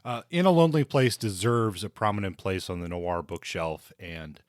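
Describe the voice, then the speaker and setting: Male co-host, lowish voice, very dry recording; informal explainer who reworks his points mid-thought. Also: moderate pace, no echo. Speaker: podcast co-host discussing books. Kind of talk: casual explanatory discussion.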